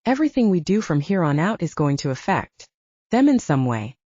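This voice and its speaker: A woman's voice: fairly low pitch, sing-song, a little fast, warm tone, dry recording, highs cut off. A woman voicing a commanding, exasperated older brother, an alpha werewolf, with a stern, patronizing manner in an audiobook. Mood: stern, weary.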